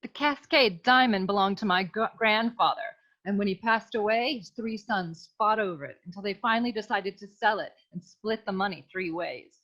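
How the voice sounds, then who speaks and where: A woman's voice: medium pitch, normal speed, few pauses, a bit bright, close to an everyday voice. A woman playing a distressed, earnest dame pleading with a detective in a comic noir radio serial. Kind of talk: parody noir radio drama acting. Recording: dull, muffled lo-fi audio.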